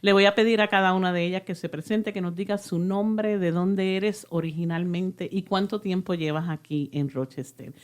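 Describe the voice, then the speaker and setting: A woman's voice: lowish voice, fairly even intonation, a little fast, few pauses. A woman hosting a radio interview, switching between Spanish and English in a chatty, laughing way. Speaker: radio host. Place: radio studio.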